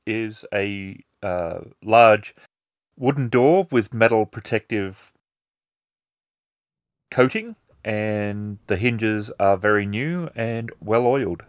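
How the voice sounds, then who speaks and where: A man with a slightly low voice runs a tabletop game as game master. He describes the scene in casual narration, recorded close and dry with no room echo. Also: takes it slowly.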